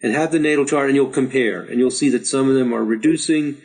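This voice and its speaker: A middle-aged man who is losing his voice, patiently walking learners through a software chart tutorial and urging them to practice. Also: mid-range voice, quick pace, husky, low-quality recording. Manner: patient, repetitive, encouraging. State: slightly hoarse, losing his voice. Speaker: astrology teacher. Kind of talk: screen-share software tutorial.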